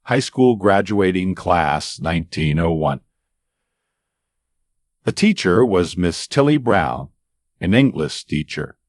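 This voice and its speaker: Low-voiced male storyteller, deliberately paced. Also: sing-song, dry recording, clean studio sound. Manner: dramatic pauses and deliberate, emphatic phrasing. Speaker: radio-style storyteller. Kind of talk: scripted narration of a true anecdote with a twist.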